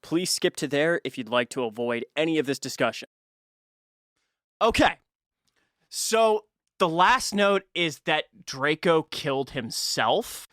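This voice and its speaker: A podcast host with a bright, forward voice at a middling pitch, recorded close and dry. The talk is casual and unscripted, with thoughts worked out aloud as they come. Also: lively intonation, unhurried, no echo. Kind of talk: casual chat.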